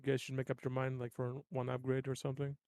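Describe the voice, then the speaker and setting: A man's voice: medium pitch, monotone, fast talker, darkish tone, dry recording, clean studio sound. A man chatting casually as he plays a tabletop roleplaying game.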